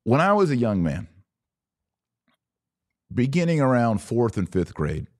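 A middle-aged man with an American accent giving an informal podcast monologue, telling personal stories emphatically with dramatic pauses on key words. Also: medium pitch, sing-song. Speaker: podcast host.

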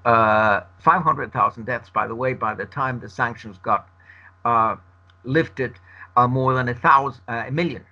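Bright-voiced man, Spanish native. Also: mid-range voice. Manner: measured, emphatic argument. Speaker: interview guest. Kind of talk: extended interview answer. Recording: dull lo-fi recording.

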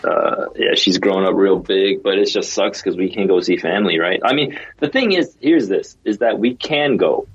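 Relaxed remote guest, low husky man, dull lo-fi call audio. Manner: relaxed, friendly, halting restarts. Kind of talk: casual chat.

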